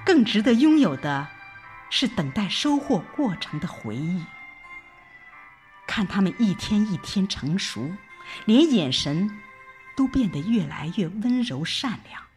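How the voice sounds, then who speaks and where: Slow, expressive woman narrating over music. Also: medium pitch. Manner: expressive, reflective recitation with deliberate pauses. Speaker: audio drama narrator. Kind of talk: dramatic scripted first-person narration. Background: background music.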